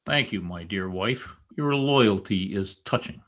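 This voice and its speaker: A man plays a vengeful, tormented master in a gothic radio melodrama. His voice sits fairly low and he draws his words out slowly. The delivery is sardonic, brooding and theatrical. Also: lively intonation, a bit bright. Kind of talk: old-time radio acting.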